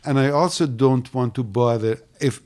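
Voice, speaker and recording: A man, a translator on a panel, speaking haltingly with many restarts. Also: medium pitch, darkish tone. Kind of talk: panel discussion.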